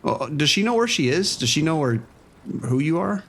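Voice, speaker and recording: An actor playing a weary, level-headed police sergeant who talks calmly and informally, with some hesitant restarts. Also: male voice, fairly high pitch, moderate pace, slightly rough, heavily acted. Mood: urgent. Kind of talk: audio drama acting.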